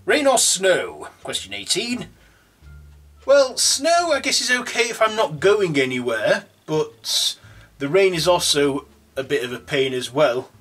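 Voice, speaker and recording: A man's voice: fairly high pitch, big pitch swings, a little slow, a bit bright, breathy edge, faint music behind. Rambling young man. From Yorkshire, England. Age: 20s. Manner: rambling, with restarts, reading questions aloud. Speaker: YouTuber. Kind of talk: casual tag video. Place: room at home, indoors.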